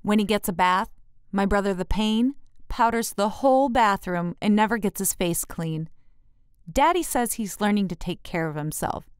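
An eight-year-old girl acted out by a woman complains about her sibling in a storybook. She is indignant, jealous and bossy. The voice is clear and smooth, with a dry, close sound. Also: mid-range voice, moderate pace, no room sound, natural voice. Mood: aggrieved, complaining.

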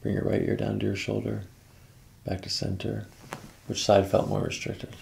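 A man's voice: fairly low pitch, monotone, normal speed, vocal fry, darkish tone, a little hiss or hum. A middle-aged man working as a chiropractor, giving soothing, instructive directions to a patient during a hands-on exam. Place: small carpeted treatment office.

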